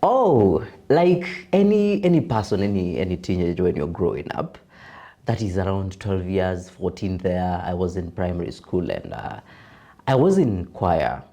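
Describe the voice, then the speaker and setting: A young Kenyan man with a deep voice, a broadcaster and voiceover artist chatting as a podcast guest, laughing easily and mixing in Swahili. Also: very animated, a little slow, vocal fry, mellow. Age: young adult. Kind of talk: casual interview chat.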